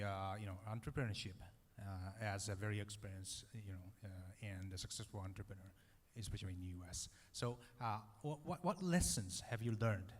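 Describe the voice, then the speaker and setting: Halting male interviewer on a hall stage. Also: lowish voice, a little hiss or hum. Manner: halting, many "uh" fillers. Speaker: venture investor interviewer. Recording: reverberant hall.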